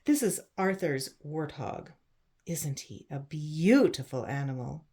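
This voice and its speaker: A woman reads a storybook aloud for children in a fairly low-pitched voice. She breaks from the text for chatty asides, explains things in simple words and asks her listeners what they think. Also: lively intonation, slow, warm tone, husky. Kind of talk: picture book read-aloud with chatty asides.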